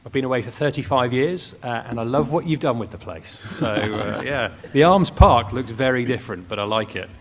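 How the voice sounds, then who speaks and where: A man's voice: mid-range voice, normal speed, hardly any pauses. A man from Wales giving a wry, conversational presentation as a research director. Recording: long hall reverb.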